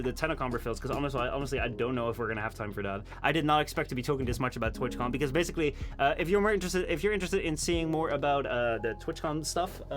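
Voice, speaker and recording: A tired young man, a Dutch native speaker, chatting casually and rambling with his live-stream chat, full of fillers and restarts. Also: mid-range voice. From Netherlands. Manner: rambling, many fillers and restarts, laughs often.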